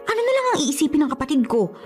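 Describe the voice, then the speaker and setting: A high-pitched, guilt-ridden older sister in a radio drama. She speaks in hesitant, stammering pleas, as if hiding something. Music plays underneath. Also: big pitch swings, very fast, a little mellow. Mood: anxious, scolding. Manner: hesitant, pleading, stammering excuses. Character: evasive, guilt-ridden older sister torn over a secret pact. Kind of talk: Tagalog radio drama acting.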